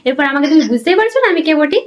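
Very high-pitched, fast-talking young woman, accusing. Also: animated, slight background noise. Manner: accusing, insistent, repeatedly seeking agreement. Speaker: prank caller. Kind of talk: confrontational phone conversation.

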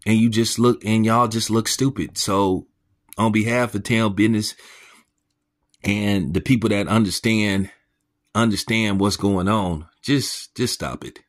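Host, male, very low voice, blunt mocking rant, crude commentary. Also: fairly even intonation, a little slow. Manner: pitch jumps up when incredulous. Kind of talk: ranting commentary monologue.

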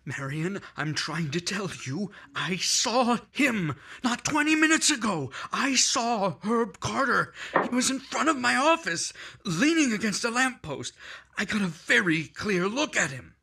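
A man's voice: sits high, big pitch swings, a little slow, creaky, bright, forceful tone, husky, full character voice. A man playing a nervous, guilt-ridden husband in an old-time radio mystery, stammering and anxious. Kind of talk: 1940s radio acting.

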